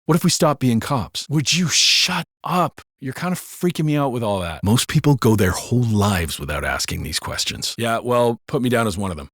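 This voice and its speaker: Video game beat cop: American, gruff, sardonic, swears freely, lively pitch, sharp tone. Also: male voice, normal speed, few pauses, dry recording, clean studio sound. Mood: sardonic banter. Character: gruff, foul-mouthed male city beat cop. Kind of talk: scripted video game voice acting.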